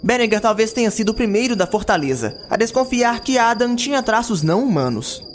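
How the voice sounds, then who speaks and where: A man's voice: high voice, sing-song, a little fast, slight background noise. A man narrating a scripted fantasy audio drama in a dramatic storytelling style. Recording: background music.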